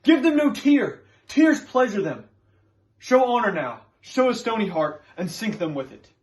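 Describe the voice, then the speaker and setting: Man acting an impassioned, high-voiced Puritan husband. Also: big pitch swings, unhurried. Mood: bitter defiance. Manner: impassioned, emphatic, archaic phrasing. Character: anguished, defiant Puritan farmer clinging to his name. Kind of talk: dramatic stage monologue.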